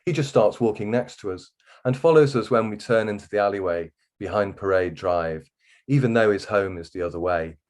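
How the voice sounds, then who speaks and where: Male author, lower-pitched voice, expressive reader of first-person fiction. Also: fairly quick. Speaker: guest author reading from a novel.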